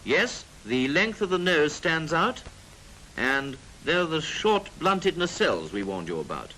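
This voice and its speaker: A man's voice: on the high side, big pitch swings, measured pace, pushed and bright. A British man narrating a 1940s military training film in a clipped, instructive manner with drily jocular asides. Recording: noisy 1940s film soundtrack.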